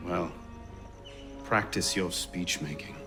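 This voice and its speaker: A man's voice: deep voice, slow. A man playing a dry, determined adventurer in a video game, sardonic and clipped. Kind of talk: video game cutscene acting. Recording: music behind the voice.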